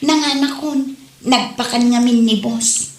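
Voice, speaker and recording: A woman's voice: on the high side, unhurried, close to an everyday voice. An actress playing an evasive young working wife and mother in an audio drama, casual and full of excuses. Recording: roomy, clearly noisy recording.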